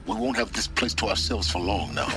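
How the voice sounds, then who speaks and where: A man's voice: fairly low pitch, brisk, close to an everyday voice. An actor playing a cool, secretive male guide in a video game, dry, impatient and condescending. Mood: dry, wary.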